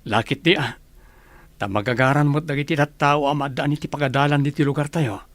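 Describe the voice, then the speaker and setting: A man acting a high, bright Ilocano village leader. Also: a little fast, no echo, close to an everyday voice. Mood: pleased. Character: earnest, friendly village leader and family man. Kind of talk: Ilocano radio drama acting.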